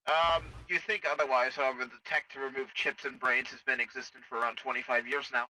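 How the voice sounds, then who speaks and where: A man's voice: mid-range voice, level tone, fast, bright, forceful tone, poor audio. A man playing a clinical sci-fi military medical technician in an improvised game roleplay, explaining things in a formal, hesitant way. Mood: clinical, detached.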